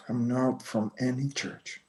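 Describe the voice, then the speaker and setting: A man playing a proud, courteous old Mexican-American rancher in a screenplay table read, speaking formally. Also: medium pitch. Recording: echoey room.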